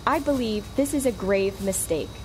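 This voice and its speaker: A woman's voice: medium pitch, animated, moderate pace, a little mellow, soft background music, natural voice. A woman playing an exasperated, sensible queen who chides drily in a comedic fantasy audio drama. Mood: grave, disapproving.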